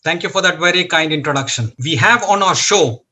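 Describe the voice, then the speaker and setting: A man's voice: a little high, lively intonation, a little fast, bright, forceful tone. A man in his sixties with an Indian accent, hosting on a video call with a formal, scripted delivery. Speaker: show host and interviewer.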